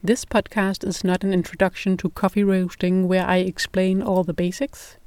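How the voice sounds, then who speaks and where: Calm Danish-accented woman, dry close sound. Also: medium pitch, moderate pace, no room sound. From Denmark. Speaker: podcast host. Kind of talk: scripted podcast narration.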